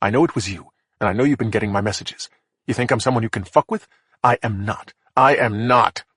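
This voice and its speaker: A man's voice: on the low side, brisk, fairly bright tone, slightly rough, no echo. A man voicing a stressed middle-aged man who hisses threats and panics on the phone, in a profane, snarling way. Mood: threatening. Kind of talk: audiobook character voice.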